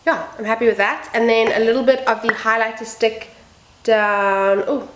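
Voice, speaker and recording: Croaky chatty woman with a cold. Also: fairly high pitch, steady tone, normal speed, fairly bright tone, roomy sound, a little hiss or hum. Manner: chatty, upbeat, self-deprecating asides. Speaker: beauty vlogger. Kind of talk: casual makeup tutorial chat. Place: home room with building noise outside.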